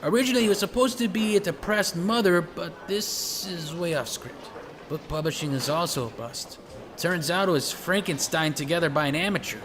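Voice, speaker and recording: High-voiced, talkative actor as a male detective, reverberant. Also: normal speed, background noise, natural voice. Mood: sardonic. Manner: talkative, sardonic, eager. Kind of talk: audio drama dialogue. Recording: reverberant hall, music underneath.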